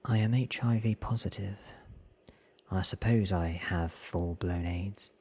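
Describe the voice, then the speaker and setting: A man voicing a candid, careful, sickly young man in a hushed, earnest ASMR storybook reading. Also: deep voice, measured pace. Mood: candid, resigned. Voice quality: breathy.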